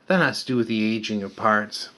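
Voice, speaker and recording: A man's voice: lowish voice, brisk, noisy background. An older man casually walking through a repair in a plain-spoken, explanatory way as a hobbyist restorer. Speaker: vintage radio restorer and presenter. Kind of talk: casual hands-on repair walkthrough.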